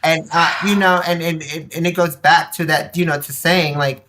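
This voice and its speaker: A woman speaks candidly as an interview guest, in a very bright, forceful voice. She is animated and emphatic as she tells personal stories, slipping into quoted remarks. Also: low-pitched, moderate pace, a little hiss or hum. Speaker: podcast guest, nonprofit board member. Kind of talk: candid personal storytelling in conversation.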